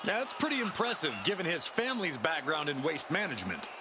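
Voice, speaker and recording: A man's voice: fairly high pitch, huge pitch swings, a little fast. A man playing an opinionated, wry color commentator in a wrestling video game, speaking emphatically. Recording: loud arena noise behind.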